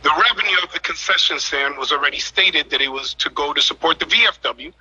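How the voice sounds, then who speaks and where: Husky, bright-toned mayor addressing a council. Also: male voice, fairly high pitch. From United States. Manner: measured, formal, emphatic. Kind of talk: public meeting address. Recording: dull lo-fi meeting audio.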